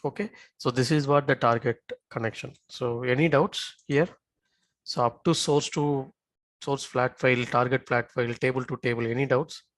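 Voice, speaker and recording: A male software instructor talks through a live on-screen demonstration. His voice sits at a middle pitch in a dry recording with no room sound. He often stops to check that learners follow. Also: steady tone, moderate pace, no room sound. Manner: checks in often with short tag questions.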